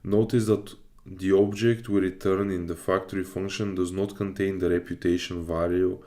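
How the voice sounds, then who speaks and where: A man's voice: low voice, little pitch movement, moderate pace, darkish tone. A man from Bulgaria livestreaming his study session, casually reading aloud and hesitantly thinking through it as he goes. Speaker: live streamer learning web development.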